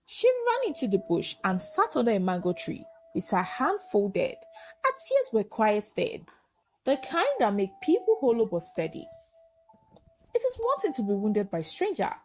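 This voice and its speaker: Highly animated woman telling a folktale. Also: a little hiss or hum. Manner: earnest, expressive storytelling. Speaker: storyteller narrator. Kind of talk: dramatic folktale narration.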